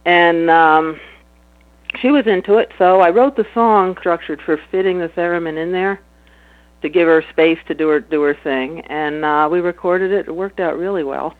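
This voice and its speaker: A woman with a slightly low speaking voice, a musician chatting casually about her music in an interview, relaxed and laughing easily. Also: normal speed, dry recording. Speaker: musician guest. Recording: tinny phone line.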